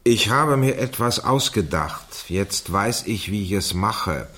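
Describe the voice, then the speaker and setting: A man reading out a girl's diary in a radio crime play. His low voice swings widely in melody, and he takes his time over each phrase. The delivery is mocking and theatrical. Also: expressive, slow pace, fairly bright tone, exaggerated voice. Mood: deliberate. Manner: theatrical, dictates punctuation marks aloud. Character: Russell, male reader of a teenage girl's diary, mocking.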